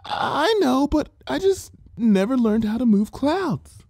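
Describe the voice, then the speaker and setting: Guy doing a timid pegasus girl, high halting voice, kinda unsure. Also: big pitch swings, gravelly, darkish tone, slightly rough, no room sound, studio quality, full character voice. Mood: timid, apologetic. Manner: hesitant, halting. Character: timid, hesitant young female pegasus pony.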